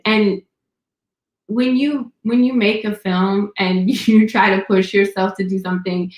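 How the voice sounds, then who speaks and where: A woman speaking as a filmmaker in a conversational panel Q&A, reflective and halting, with a roomy, echoing sound. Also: medium pitch. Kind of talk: conversational Q&A answers.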